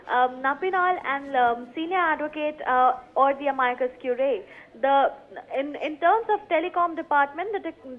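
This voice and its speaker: Female Indian-accented field reporter, high voice, formal halting read, thin phone-quality audio. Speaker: news reporter. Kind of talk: live news report, reading out recommendations. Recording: narrow, phone-like sound.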